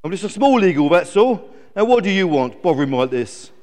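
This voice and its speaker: Man voicing a whiny, high-pitched little storybook eagle. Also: very animated, a little fast, a little mellow, big-room sound. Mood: indignant, squawking. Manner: complaining, indignant, squawking. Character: grumpy, whining, undersized young male golden eagle. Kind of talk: children's storybook character voice.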